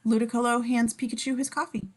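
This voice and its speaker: A woman's voice: a little high, little pitch movement, quick pace, darkish tone. A woman reading screenplay scene descriptions aloud as the narrator of a table read.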